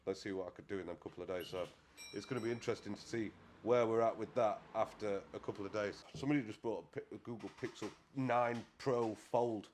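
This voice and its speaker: British man, hoarse and throaty, stuffed-up nasal cold voice, lowish pitch, rambling casual podcast chat. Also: fast talker, a little mellow, a little hiss or hum. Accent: British English. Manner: rambling, self-deprecating, chatty. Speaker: podcast host.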